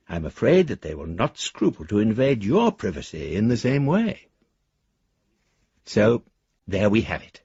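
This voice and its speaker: A roguish, affectionate middle-aged gentleman reading out his letters in a radio drama. His voice is low and very husky, with florid, theatrical turns. The recording is close, dry and slightly muffled. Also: no echo, full character voice. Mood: wry, affectionate. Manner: urbane, florid, theatrical delivery. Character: roguish, affectionate, well-spoken father writing secret letters.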